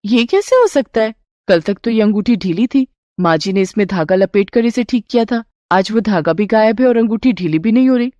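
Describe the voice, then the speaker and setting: A woman voicing a polite, easily amazed young daughter-in-law in a Hindi cartoon moral tale, respectful and expressive. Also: medium pitch, moderate pace, no echo, highs cut off, close to an everyday voice. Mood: amazed, puzzled. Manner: respectful, wide-eyed, expressive. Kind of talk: cartoon storybook character voice.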